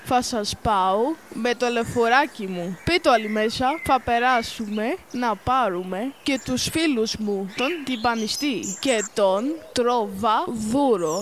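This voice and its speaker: A woman's voice: fairly high pitch, natural voice. Woman as a helpful bus driver, noisy backdrop. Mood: welcoming. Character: friendly, helpful pedal-bus driver in children's tale. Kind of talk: scripted storybook dialogue. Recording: loud background ambience.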